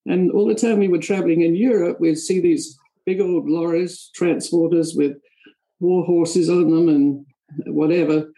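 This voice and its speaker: An older woman with an English accent, a charity board member answering interview questions in a halting, rambling way. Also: on the low side, steady tone, warm tone, low-quality recording. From England. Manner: halting, rambling with many restarts.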